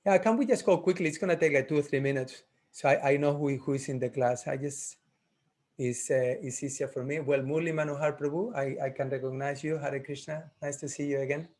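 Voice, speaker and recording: Guy running roll call over a video call, kinda high voice, friendly, pauses a lot. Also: fairly even intonation, a little mellow. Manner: friendly, halting, thinking aloud. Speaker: class teacher.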